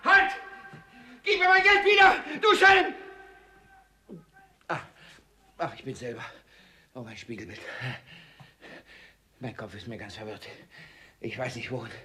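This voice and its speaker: Frantic old miser, wildly swooping voice. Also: male voice, medium pitch, big pitch swings, measured pace, long pauses, sharp tone, heavily acted. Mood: despairing. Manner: stammering, repeating words, exclaiming theatrically. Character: old miserly father, frantic, suspicious, easily enraged. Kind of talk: German radio play comedy acting.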